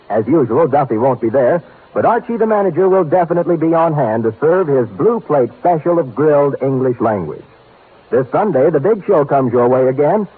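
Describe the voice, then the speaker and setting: A man's voice: a little high, slightly rough. A man announcing promos and credits in a polished, formal 1950s radio style. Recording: tinny old 1950s radio recording.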